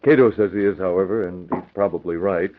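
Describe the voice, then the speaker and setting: A commanding young publisher acted by a man in a 1940s radio adventure. His voice sits low, and the recording is thin and phone-like. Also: steady tone, fast, a little hiss or hum, close to an everyday voice. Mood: authoritative. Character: daring young newspaper publisher, calm and authoritative. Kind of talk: 1940s radio drama acting.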